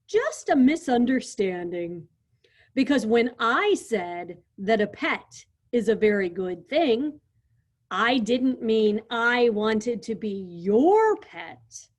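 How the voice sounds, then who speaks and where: A woman voicing a big, gentle male dinosaur in a storybook read-aloud, speaking patiently and politely. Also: a little high, big pitch swings, slow, exaggerated voice. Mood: calm polite.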